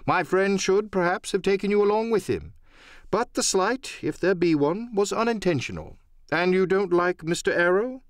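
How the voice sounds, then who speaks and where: A shrewd gentleman doctor, played by a man in an audio adventure play. His raised voice swings through its phrases, courteous and measured, gently probing and composed. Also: sits high, animated, dry recording, studio quality, voice pushed up. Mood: diplomatic. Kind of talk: audiobook radio-play acting.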